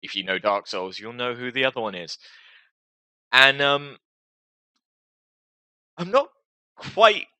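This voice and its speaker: A man's voice: mid-range voice, big pitch swings, slow pace, long pauses, bright, forceful tone, clear, resonant tone, no room sound. A man talking casually and hesitantly to his viewers as a gaming video host, with a self-deprecating, rambling manner.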